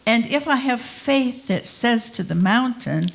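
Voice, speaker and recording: A woman's voice: a little high, animated, a little slow, vocal fry, poor audio. A woman performing a theatrical scripture reading, drawing out key words for emphasis. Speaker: reader in a group dramatic reading.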